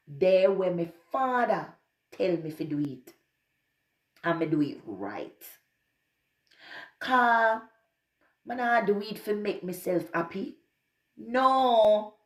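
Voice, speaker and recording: A woman speaks with a Jamaican accent as a presenter and scripture reader. Her pace is slow and measured, and her voice sits at a middle pitch. The recording carries a clear room echo. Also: animated. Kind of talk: channel greeting and scripture reading in Jamaican Patois. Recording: echoey room sound.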